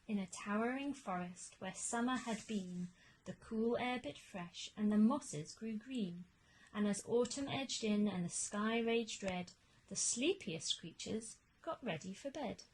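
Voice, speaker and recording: Woman, slow-paced storytime narration, expressive, measured, rhyming verse, mid-pitched, faint background noise. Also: low-quality recording. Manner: measured, expressive, dramatic emphasis. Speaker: teacher reading a picture book aloud.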